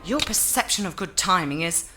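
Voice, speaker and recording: An actress playing a cool, defiant adventuress in a video game, speaking with an English accent in a sardonic, composed way. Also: female voice, lowish voice, lively intonation, moderate pace, over music, natural voice. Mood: sceptical, defiant. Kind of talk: game cutscene acting.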